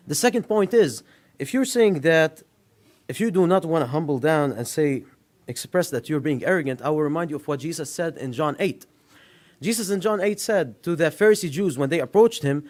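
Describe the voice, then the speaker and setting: A man speaks at a podium mic in a public meeting room, his voice sitting a bit high for a man. He confronts an official head-on in a public comment, pressing each point firmly. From Lebanon. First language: Arabic. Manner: confrontational, addresses an official directly.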